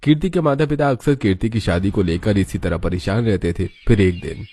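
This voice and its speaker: Guy narrating a cartoon story, lowish voice, really mellow and soft-edged. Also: a little fast, breathy edge.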